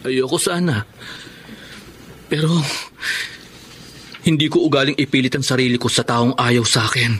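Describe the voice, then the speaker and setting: An actor playing a resigned, heartbroken young man in a radio drama, speaking slowly and earnestly. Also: mid-range voice, level tone, a bit bright, close to an everyday voice. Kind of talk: Tagalog radio drama acting. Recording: faint background noise.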